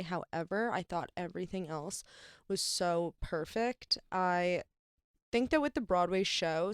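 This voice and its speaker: A woman's voice: fairly low pitch, smooth, no echo, studio quality. A young woman hosting a podcast, talking casually and animatedly with lots of fillers. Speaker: podcast host. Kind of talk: casual chat.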